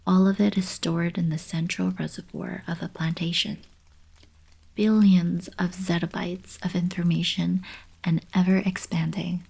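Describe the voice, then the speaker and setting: A woman playing a playful, teasing young alien princess girlfriend in an intimate ASMR roleplay, speaking softly and breathily. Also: on the low side, flat delivery, a little slow, heavily acted, poor audio. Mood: thoughtful explaining. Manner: soft, breathy, casual teasing delivery. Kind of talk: intimate ASMR roleplay, scripted monologue to the listener.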